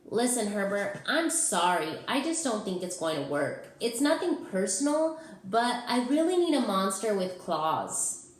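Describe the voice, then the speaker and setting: A woman's voice: mid-range voice, very animated, a little slow, echoey room. A woman voicing a frank, picky child who questions things bluntly, in a storybook reading. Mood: apologetic but firm. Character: picky, blunt child wanting a scary monster.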